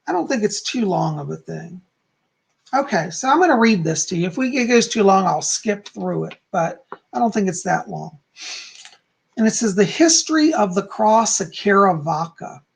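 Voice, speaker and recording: A calm, soft-spoken man who is hoarse and losing his voice, teaching on a livestream and reading aloud from a book. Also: high-pitched, moderate pace. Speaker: psychic teaching about folk magic.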